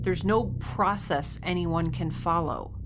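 A woman's voice: fairly low pitch, slow pace, heavy background noise. An actress playing a blunt young woman scientist, held captive, who speaks in a dry, sarcastic way.

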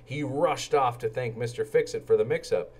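A man narrating a children's storybook in an expressive, animated way and acting out the characters' lines. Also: medium pitch, normal speed, slightly rough. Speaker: read-aloud storyteller for children.

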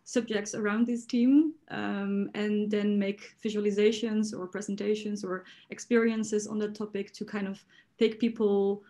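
A young woman designer talking from her living room on a video call, soft-spoken and thoughtful. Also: mid-range voice, flat delivery, measured pace. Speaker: designer, panelist. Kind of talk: casual panel talk.

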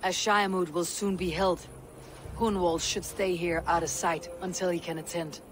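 Game role: stoic Viking warrior woman, played by an actress. Husky, grave, measured delivery with poetic weight; faint background noise. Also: on the low side, fairly even intonation, lightly acted. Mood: composed. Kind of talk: dramatic video game acting.

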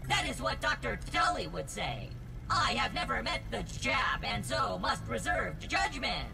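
A woman's voice: a little high, gravelly, full character voice. A woman voicing an eccentric robot lab assistant in a video game, with formal, stilted speech that stutters and glitches. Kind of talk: scripted video game dialogue. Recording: loud music behind. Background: music.

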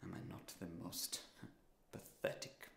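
An actor playing a despairing, insecure man in his thirties in a confessional, halting dramatic monologue. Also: fairly bright tone, slight background noise. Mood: wistful, hushed. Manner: confessional, halting, deliberate pauses. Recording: roomy, echoing sound.

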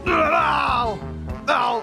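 A man's voice: a little high, wildly swooping pitch, moderate pace, vocal fry, heavily acted. A man voicing a whiny, exasperated pirate in an improvised comedy dub. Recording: music under the dialogue.

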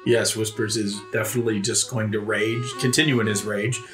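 A man's voice: lowish voice, little pitch movement, brisk, fairly bright tone. A man talking casually as a player in a tabletop role-playing game podcast. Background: background music.

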